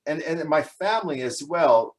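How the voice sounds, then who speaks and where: A man's voice: on the high side, expressive, normal speed. A man in his forties, from Canada, answering interview questions in a casual, hesitant way with many fillers and restarts, often laughing. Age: 40s. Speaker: guest.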